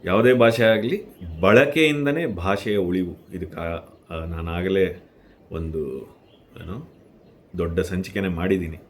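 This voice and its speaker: A man giving instructional tips to viewers, earnest and emphatic on key words, with deliberate pauses. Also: sits low, a little hiss or hum. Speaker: host giving language-speaking tips.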